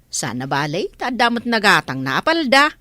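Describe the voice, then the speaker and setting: A woman's voice: medium pitch, sing-song, fairly quick, sharp tone, no room sound. A woman with an Ilocano Filipino accent playing a proud, outspoken village neighbour in a comedy drama. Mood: pleased.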